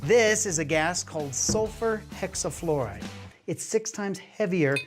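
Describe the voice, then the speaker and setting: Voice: mid-range voice, animated, a little slow. A science show host giving a live demonstration, coaxing a helper along with enthusiasm and frequent questions. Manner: enthusiastic, coaxing, asks many rhetorical questions. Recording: music behind the voice.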